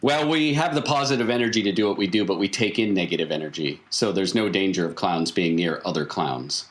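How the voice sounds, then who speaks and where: A man's voice: sits low, fairly quick, natural voice. A man playing a matter-of-fact circus clown in a tabletop game, explaining things casually.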